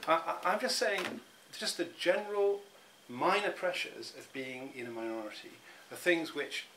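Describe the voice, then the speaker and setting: A man's voice: fairly high pitch, moderate pace, pushed and bright. Middle-aged man, reflective interviewee, stop-start delivery, faint hum behind. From England. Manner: thoughtful, many restarts and repeated words.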